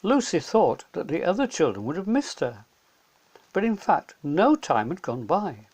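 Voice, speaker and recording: A man's voice: high voice, big pitch swings, measured pace, darkish tone, slightly rough. A man narrating a scripted children's story as a storyteller.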